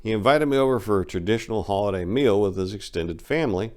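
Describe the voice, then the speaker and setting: A man's voice: fairly low pitch, quick pace. A man giving a dramatic first-person reading as a book narrator, telling stories in a brash, irreverent, slangy way.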